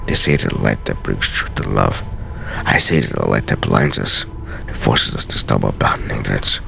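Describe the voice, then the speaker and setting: Brooding scholar of game journals, acted by a man: grave and theatrical, rock-bottom pitch, swooping intonation. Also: expressive, vocal fry, pushed and bright, faint music behind, heavily acted. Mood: solemn, contemplative. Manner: grave, measured, theatrical delivery. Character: solemn, brooding scholar writing secret journal pages. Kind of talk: dramatic reading of game lore.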